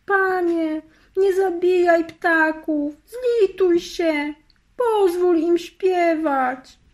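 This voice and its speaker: A woman's voice: very high voice, extremely slow, voice pushed up, exaggerated voice. A woman voicing a young girl who pleads through tears in a storybook. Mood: pleading.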